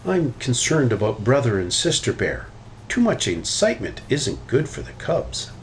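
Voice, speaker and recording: A man voicing a gently sensible, fretful mother bear in a children's storybook. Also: mid-range voice, very animated, moderate pace, slight background noise, natural voice, low-quality recording. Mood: concerned.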